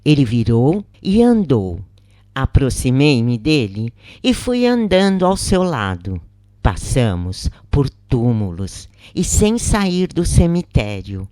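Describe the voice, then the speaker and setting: A narrator reading a scripted first-person account in measured, deliberate phrases with pauses. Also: mid-range voice, sing-song, dark tone, no room sound, studio quality.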